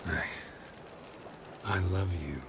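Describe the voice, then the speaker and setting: Very deep, stoic monster hunter. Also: male voice, takes it slowly, background noise. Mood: pleading. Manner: terse, understated delivery. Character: gruff, stoic male monster hunter, laconic, dry. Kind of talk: video game cutscene dialogue.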